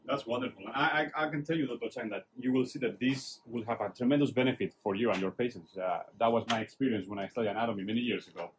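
A middle-aged man, a neurosurgeon leading a casual tour of an anatomy lab, praising the work in an enthusiastic, halting way with many fillers. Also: medium pitch, a little hiss or hum, poor audio.

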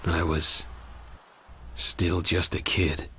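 An actor playing a laid-back, reflective ninja teacher, musing and understated, in an English anime dub. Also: male voice, sits low, little pitch movement, very slow, sharp tone, breathy edge, exaggerated voice.

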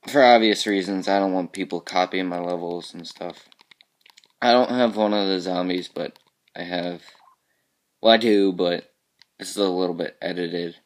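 A man with a very low voice, groggy and a little raspy from just waking up. He talks loosely through his game levels, letting phrases trail off into quiet mumbles as he films the screen. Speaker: level creator showing his game work. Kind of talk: casual screen-capture walkthrough.

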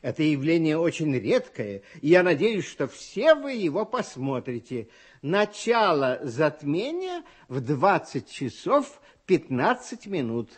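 Man, radio host, high voice, very varied intonation, comic letter reading, expressive. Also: measured pace, slightly rough. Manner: animated, expressive storytelling. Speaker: children's radio show host.

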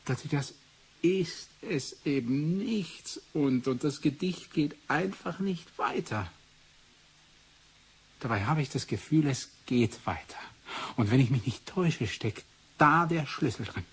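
An actor playing a pensive doctor looking into a suspicious death in a radio drama, speaking deliberately with weighty pauses. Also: male voice, a little high, slightly rough, exaggerated voice. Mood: musing. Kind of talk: 1980s German radio drama acting. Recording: hissy 1980s radio play recording.

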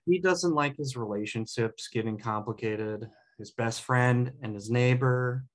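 A man's voice: mid-range voice, a little slow, natural voice. A man playing a sheepish, easygoing best friend in a sitcom table read, hesitant and joking. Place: dim indoor room at home on video call. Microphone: video call.

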